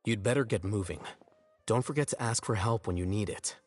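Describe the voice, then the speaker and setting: An actor playing a self-assured, logical young scholar in a video game, precise and matter-of-fact. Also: male voice, on the low side, fairly quick, faint music behind, close to an everyday voice. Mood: cool.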